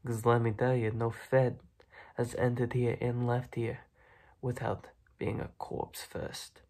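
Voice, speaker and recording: A young man voicing a smug, menacing mob boss in a soft-spoken, half-whispered ASMR roleplay full of gangster slang. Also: medium pitch, flat delivery, slow, creaky. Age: young adult. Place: small dark room indoors.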